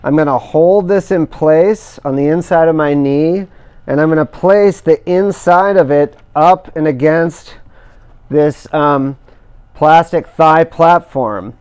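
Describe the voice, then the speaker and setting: A middle-aged man calmly explaining each step of a hands-on installation as he works. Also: a little high, clean tone. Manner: careful, measured explaining while working with his hands. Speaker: instructor demonstrating kayak outfitting. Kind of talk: step-by-step how-to demonstration.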